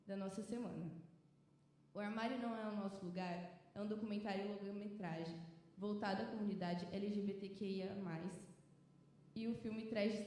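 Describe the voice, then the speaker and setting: Brief: Brazilian woman, event opener, mellow tone, roomy distant sound with faint hiss. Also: medium pitch. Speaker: event organizer opening a live talk. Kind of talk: formal scripted welcome. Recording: very echoey room, distant mic, faint noise.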